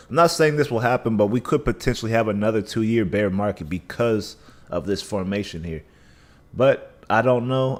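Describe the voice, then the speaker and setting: A man hosting a casual live stream, talking through chart analysis with a scratchy voice and a dry mouth, thinking aloud with many restarts. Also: lowish voice. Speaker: livestream trading host.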